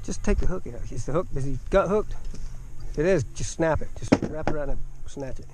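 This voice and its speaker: A man's voice: a little high, a little fast, background noise. A man teasing a buddy and boasting while fishing, recorded outdoors on a boat by an action camera. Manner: boastful, teasing, whooping when fish pull. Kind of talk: casual banter while fishing. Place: outdoors on a pontoon boat on a lake.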